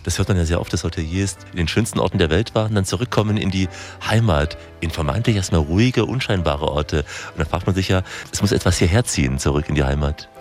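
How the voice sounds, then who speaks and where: A man's voice: deep voice, fast, darkish tone, faint music behind. A man hosting a travel report, moving between narration and interview questions. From southern Germany or further south. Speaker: host and reporter.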